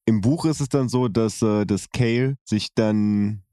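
A man's voice: on the low side, unhurried, warm tone, no room sound. A man co-hosting a podcast, explaining details in casual discussion. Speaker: podcast host comparing book and audio drama.

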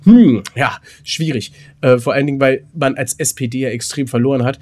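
A man's voice: mid-range voice, a little mellow. Rambling man, casual podcast host. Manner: rambling, halting, repeats words mid-sentence. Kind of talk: casual chat between co-hosts.

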